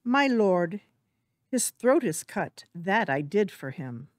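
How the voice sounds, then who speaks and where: A woman playing a hired cutthroat in a Shakespearean dramatic reading, with drawn-out, dramatic delivery. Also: mid-range voice, sing-song, dry recording, clean studio sound. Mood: grim, deferential. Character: hired cutthroat reporting to the king. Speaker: amateur actor in a library's dramatic reading.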